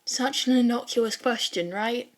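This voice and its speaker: A woman reading fan fiction as an audiobook-style narrator, with a casual, wry, expressive storytelling manner. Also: fairly high pitch, measured pace.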